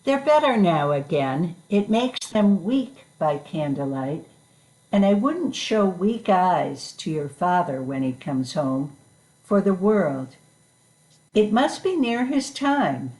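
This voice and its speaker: Woman playing a bereaved Victorian mother, slow pace, very lively pitch, tender, gentle, halting, storybook reading. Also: rough recording. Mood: weary, brave. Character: grieving, tender working-class Victorian mother and wife. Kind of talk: dramatic reading of a classic story.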